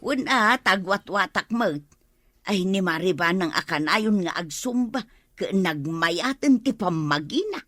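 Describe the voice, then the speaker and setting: An actress playing a lazy, nosy, exclamatory housewife in a comedy radio drama. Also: female voice, medium pitch, expressive, measured pace, a bit bright, no echo. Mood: playful, exclamatory. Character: lazy, nosy housewife with high blood pressure.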